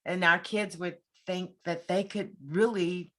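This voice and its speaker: A woman's voice: fairly low pitch, steady tone, unhurried, fairly bright tone. A woman hosting a conversational interview show, speaking in an effusive, encouraging way.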